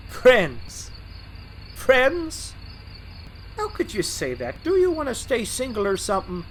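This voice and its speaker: A man's voice: very high voice, huge pitch swings, takes it slowly, a bit bright, a little hiss or hum, exaggerated voice. A man playing a boastful, grouchy, easily offended switcher locomotive in a fan audio drama. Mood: indignant, boastful. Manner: brash, indignant, boastful.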